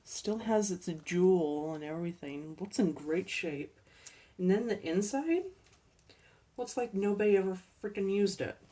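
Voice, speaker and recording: Woman, slow easy talk, soft mellow tone, a little hoarse, set back from the mic. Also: medium pitch, far from the mic. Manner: chatty, unhurried, trailing off with ums and laughs. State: slightly losing her voice. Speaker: collecting channel host. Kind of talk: casual thrift haul chat.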